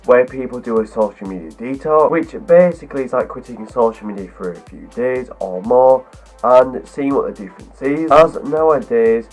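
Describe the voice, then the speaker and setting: A man's voice: mid-range voice, lively intonation, normal speed, a little mellow, soft background music. A man with a cold, talking through a rambling explanation as a video host, stringing clause after clause together. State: has a cold, voice deeper than usual. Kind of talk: scripted talk to camera.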